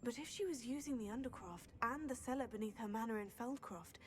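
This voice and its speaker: A woman's voice: on the high side, fairly quick, close to an everyday voice. A woman playing an earnest young witch student. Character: young female Gryffindor student witch, determined.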